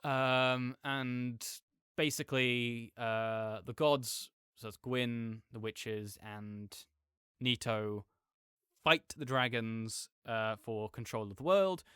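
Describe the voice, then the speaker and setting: A man's voice: medium pitch, takes it slowly, bright, forceful tone, clear, resonant tone, dry recording, studio quality. A man playing a chatty, nerdy roommate who rambles enthusiastically about a video game in a casual, digressive audio roleplay monologue. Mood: rambling explanatory.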